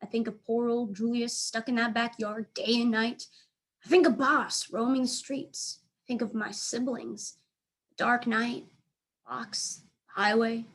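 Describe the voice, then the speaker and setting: Higher-voiced woman reading a children's story. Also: little pitch movement, measured pace, fairly bright tone. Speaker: teacher reading aloud for elementary students. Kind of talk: expressive children's storybook narration.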